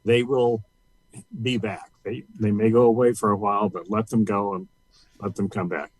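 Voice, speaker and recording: An older man from Missouri and Louisiana, a guest author, talking in a thoughtful, measured way with frequent restarts. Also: on the low side, little pitch movement, no echo, poor audio. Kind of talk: casual interview talk.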